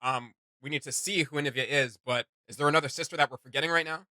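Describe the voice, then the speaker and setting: Male reaction host: very bright, punchy voice, dry close sound, opinionated and conversational. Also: on the high side, fast talker, dry recording. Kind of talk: casual reaction commentary.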